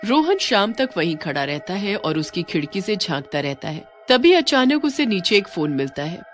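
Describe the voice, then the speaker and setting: A woman's voice: medium pitch, big pitch swings, moderate pace, faint music behind. A woman reading a scripted horror story as its narrator.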